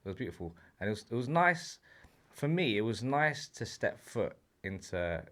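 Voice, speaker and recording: A man chatting as a podcast guest, halting and often repeating words as he starts a thought. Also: fairly low pitch, very animated, fairly bright tone. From Britain. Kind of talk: casual podcast chat.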